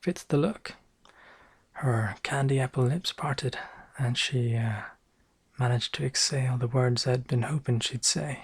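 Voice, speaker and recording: A man with a Northern English accent playing a boastful, bumbling noir private eye, narrating deadpan with hesitant asides in an ASMR roleplay. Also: mid-range voice, a little slow, husky, no room sound. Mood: wry, self-satisfied.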